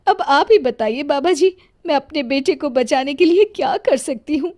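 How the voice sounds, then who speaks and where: A woman's voice: high voice, expressive, normal speed, a little mellow, slight background noise. A woman voicing a worried middle-aged mother in a cartoon, who speaks in a pleading, anxious way. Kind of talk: cartoon voice acting.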